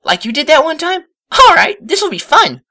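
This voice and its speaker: A man voicing an excitable, bubbly young female cartoon pony in an exuberant, dramatic manner. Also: extremely high pitch, normal speed, pitched above the performer's own voice, heavily acted. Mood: eager.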